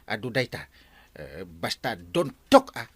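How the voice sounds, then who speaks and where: An actor with a Filipino Ilocano accent playing a tipsy, evasive, stammering village captain in a comic radio drama. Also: male voice, on the low side, sing-song, a little slow, fairly bright tone, husky, dry recording, full character voice. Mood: sly, pleading. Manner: stammering, starts with hesitant "eh".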